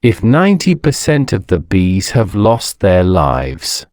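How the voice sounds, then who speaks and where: A man reading scripted educational narration in a measured, deliberate way, enunciating each phrase with pauses. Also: deep voice, huge pitch swings, darkish tone, no room sound, studio quality. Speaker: narrator of an English-learning audio story.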